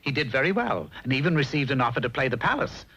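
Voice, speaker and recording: Animated American cartoon narrator, a man. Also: medium pitch, fast, breathy edge. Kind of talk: arch, theatrical 1960s cartoon storybook narration. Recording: dull, faintly noisy old TV soundtrack.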